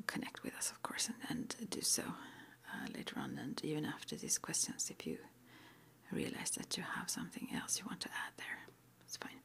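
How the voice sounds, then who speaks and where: A woman whispering an ASMR roleplay interview, playing a witch school interviewer with gentle, reassuring, hesitant phrasing. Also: low voice, normal speed, gravelly.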